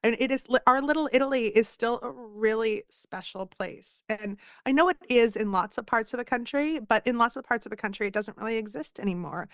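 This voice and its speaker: A woman's voice: a little high, a little fast, hardly any pauses, dry recording, studio quality. A woman in her thirties from Philadelphia, a guest author talking in a casual podcast interview, chatty and self-deprecating as she tells stories.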